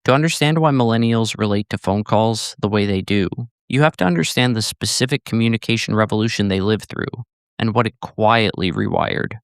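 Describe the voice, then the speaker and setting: Smooth-voiced man narrating a scripted essay. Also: on the low side, fairly quick, dry recording, clean studio sound.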